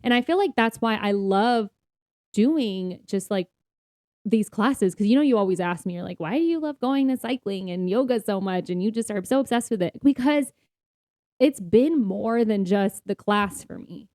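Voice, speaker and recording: Upbeat, mellow-voiced woman chatting on a podcast. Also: medium pitch, animated, normal speed, no room sound, studio quality. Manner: upbeat, laughs often while talking. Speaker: podcast co-host. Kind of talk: casual podcast chat.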